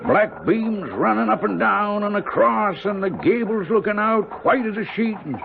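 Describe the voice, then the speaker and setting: Gabby older rustic driver, gravelly voice, old-fashioned rambling speech, radio drama, thin narrow-band sound. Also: male voice, sits high, expressive, normal speed. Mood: folksy, vivid. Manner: folksy, rambling, old-fashioned rural turns of phrase. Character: chatty older male hired driver, folksy and superstitious. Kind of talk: 1970s radio drama acting.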